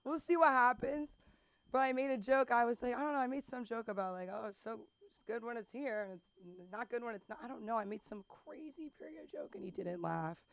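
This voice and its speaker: A woman's voice: fairly high pitch, brisk, creaky, no echo. A young adult woman hosting an open mic, chatting in a rambling way with self-conscious asides. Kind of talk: stand-up hosting. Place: comedy club stage.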